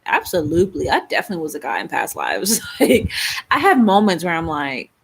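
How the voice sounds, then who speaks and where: A woman's voice: fairly low pitch, wildly swooping pitch, a little fast, gravelly, breathy edge. Young woman, Baltimore accent, rambling live-stream chat, frequent fillers, laughs between thoughts. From Baltimore, Maryland. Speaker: livestream host.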